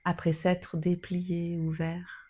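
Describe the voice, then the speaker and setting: A woman leading a guided yoga nidra meditation in a soothing, soft manner with long pauses. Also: on the low side, monotone.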